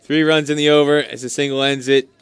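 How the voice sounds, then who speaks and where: A man's voice: a little high, flat delivery, fairly quick, smooth, no echo. A man doing live cricket commentary in an enthusiastic, talkative way, explaining things as he goes. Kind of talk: live sports commentary with chatty asides.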